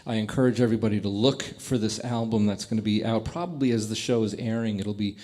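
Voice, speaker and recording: A man's voice: lowish voice, a little mellow. Enthusiastic laughing male radio host. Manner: enthusiastic, laughing often, halting restarts. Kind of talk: live radio interview. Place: live radio show stage.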